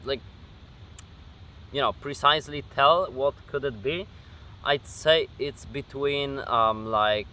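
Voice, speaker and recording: 18 yo polish guy chatting outside for his vlog, bright voice, lots of uh and um. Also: mid-range voice, slight background noise. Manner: hesitant, many fillers and restarts. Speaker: gaming YouTuber. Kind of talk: casual Q&A vlog. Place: outdoors at dusk. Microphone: camera facing him.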